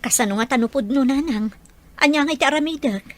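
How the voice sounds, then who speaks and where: A woman's voice: sits high, quick pace, no room sound, close to an everyday voice. A woman playing a caring, worried adult aunt and mother in an audio drama, with an Ilocano Filipino accent.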